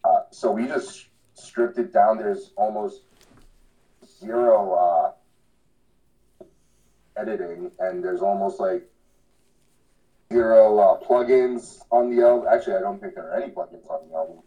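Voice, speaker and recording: A man's voice: lowish voice, measured pace, long pauses, dark tone, rough recording. A man giving casual, slangy interview answers as a podcast guest, earnest and rambling. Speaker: podcast guest, hardcore band vocalist.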